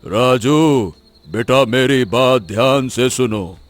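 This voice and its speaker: A man playing a wise, concerned elderly village teacher in a cartoon story, advising gently with hesitant repetitions. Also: mid-range voice, slow pace, dry recording, faint music behind, lightly acted. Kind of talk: Hindi cartoon moral story acting.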